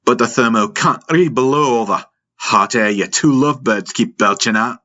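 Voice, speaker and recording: A man's voice: medium pitch, normal speed, pushed and bright, lo-fi sound, pitched above the performer's own voice, natural voice. A man voicing a wisecracking starship pilot who needles his crewmates with sarcasm. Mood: mocking.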